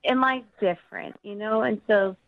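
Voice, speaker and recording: A woman's voice: medium pitch, normal speed, very noisy. A woman answering a phone call in a hesitant, guarded way. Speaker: person called by radio show. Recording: tinny narrow phone line.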